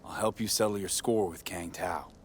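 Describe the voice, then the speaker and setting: An actor playing a calm, dry-spoken male mercenary in a game cutscene. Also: low-pitched, normal speed, a little hiss or hum, natural voice. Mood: steady. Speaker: game protagonist.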